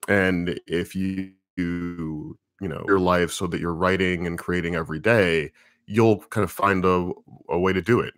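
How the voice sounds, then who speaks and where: Male writer, deep low voice, relaxed and rambly, fielding fan questions, dry sound. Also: no room sound. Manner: relaxed, rambling, thinking aloud with many fillers. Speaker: comics writer answering viewer questions. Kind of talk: casual livestream Q&A.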